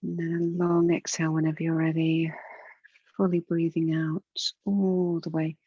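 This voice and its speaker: Female yoga nidra guide, lowish pitch, soothing and unhurried, very dry room sound. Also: flat delivery, breathy edge, no room sound, narrow, tinny audio. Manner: soothing, unhurried, gentle guidance with pauses. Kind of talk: guided relaxation and breathing instruction.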